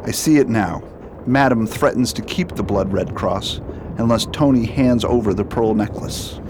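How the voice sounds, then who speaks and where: A man's voice: on the low side, moderate pace, background noise, lightly acted. A man playing an earnest, indignant police toxicologist in a radio drama, explaining and reassuring.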